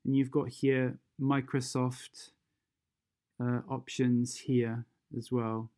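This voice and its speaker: A software tutorial presenter talking through steps unscripted and hesitantly, thinking aloud while demonstrating. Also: mid-range voice, level tone, slow, clean tone.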